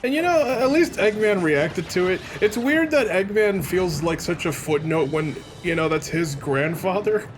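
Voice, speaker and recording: A man's voice: sits high, animated, normal speed, few pauses, over music. A man giving casual, joking let's play commentary, often putting on mock quotes.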